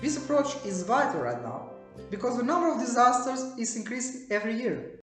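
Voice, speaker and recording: A young woman from Albania, giving a steady prepared statement point by point. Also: fairly high pitch, a little fast. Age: young adult. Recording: roomy echo with faint music.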